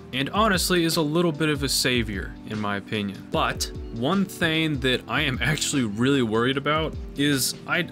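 A young man with a cold, his voice a bit raspy and stuffed up, talking in a loose, excitable way and throwing in casual swears. His pitch sits in an ordinary male middle range. Faint music plays quietly under his voice. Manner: excitable, swears casually, says "dude" often. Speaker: gaming video host. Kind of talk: casual gameplay commentary.

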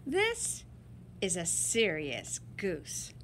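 A woman's voice: lowish voice, huge pitch swings, very slow, fairly bright tone, slight background noise. A woman reading a picture book aloud to children in a dramatic, emphatic and playful way. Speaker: story-time reader.

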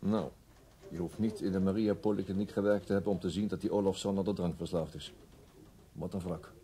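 Weary senior male detective for radio drama man acting, authoritative, soft dark timbre. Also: sits low, steady tone, brisk, natural voice. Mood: matter-of-fact.

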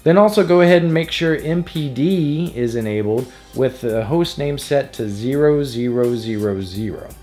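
A man's voice: mid-range voice, animated, normal speed, hardly any pauses, a little mellow. A man narrating a scripted electronics tutorial in a playful, jokey manner. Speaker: host of an electronics DIY tutorial. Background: background music.

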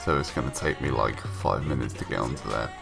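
Young male gaming vlogger, very low voice, stuffed-up from a cold, hesitant rambling, faint music behind. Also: a little fast, gravelly. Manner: rambling, hesitant, many ums and restarts. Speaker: gaming YouTuber. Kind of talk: casual voice-over commentary. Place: home room, recorded separately from gameplay.

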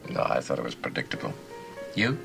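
An actor playing a suave, evasive middle-aged writer in a mystery film, courteous, smooth-talking and guarded. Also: male voice, a bass voice, sing-song, brisk, fairly bright tone, soft background music, heavily acted. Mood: smooth, probing. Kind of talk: television film mystery acting.

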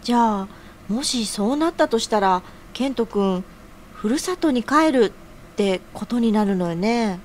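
Sisterly woman, played by an actress, encouraging and playful, feminine phrasing, bouncy intonation, rather high, for audio drama. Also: lively intonation, a little slow, slight background noise. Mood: sisterly, concerned. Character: sisterly, teasing, encouraging senior female friend.

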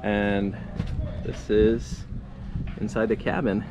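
A man's voice: on the low side, flat delivery, slow pace. Chatty man vlogging outdoors, windy mic. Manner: chatty, upbeat, lots of fillers. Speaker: sailing vlogger. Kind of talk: casual vlog walkthrough. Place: outdoors by harbor and on small sailboat. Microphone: action camera at arm's length. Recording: wind noise on camera mic.

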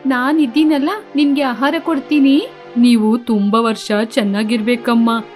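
A woman's voice: fairly high pitch, steady tone, normal speed, a little mellow, soft background music, close to an everyday voice. A woman playing a kind, devout and anxious village wife in an acted moral story, speaking in a caring, pleading way.

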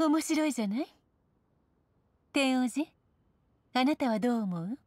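A woman's voice: high voice, lively intonation, a little slow, a little mellow, no room sound. A woman voicing an elegant, authoritative judge with a cool, composed manner in a Japanese anime-style game.

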